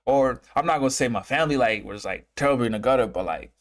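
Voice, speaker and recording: A man in his twenties from Uganda who moved to America, hosting a casual livestream and talking in a halting, rambling way, repeating phrases and circling back. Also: mid-range voice, animated. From Uganda, moved to America as a child. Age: 20s. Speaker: trading streamer and host.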